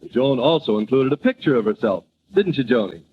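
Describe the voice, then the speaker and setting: A man's voice: mid-range voice, lively intonation, brisk, slight background noise. A man announcing a 1940s radio comedy show in a polished, showmanlike style. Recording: muffled old radio recording.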